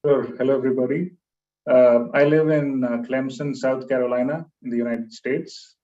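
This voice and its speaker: A man's voice: mid-range voice, steady tone, moderate pace, dark tone. A man introducing himself on a video call as a guest meditation lead, speaking in a friendly, plain way. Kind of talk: casual self-introduction. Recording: distant, dull lo-fi audio.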